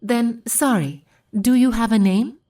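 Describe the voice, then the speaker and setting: A woman playing a gentle, shy Japanese young woman in an audio drama, polite and hesitant. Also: on the high side, measured pace, lightly acted. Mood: shy warmth. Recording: distant from the microphone.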